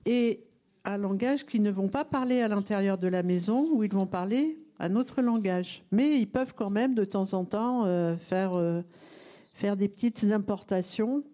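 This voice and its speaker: A woman author on a panel, speaking enthusiastically and pausing to search for words. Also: medium pitch, warm tone. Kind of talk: panel discussion.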